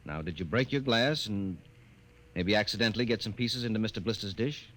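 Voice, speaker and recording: A man's voice: lowish voice, brisk, a bit bright, lightly acted. An actor with an American accent playing a stern, skeptical middle-aged father in a 1950s radio drama, questioning brusquely. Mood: stern, grave. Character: stern, skeptical father and government office man, easily exasperated. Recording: noisy vintage 1950s radio recording.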